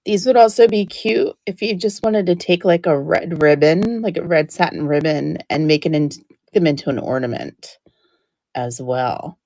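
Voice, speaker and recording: A woman running a live-stream auction, chatting warmly about each item while she loses her voice and keeps clearing her throat. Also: low-pitched, normal speed, no room sound. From New Jersey. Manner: chatty, describing items up close.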